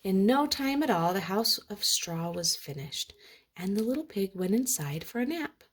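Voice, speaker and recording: A woman reading a children's storybook aloud with expressive, dramatic narration. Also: medium pitch, normal speed, husky.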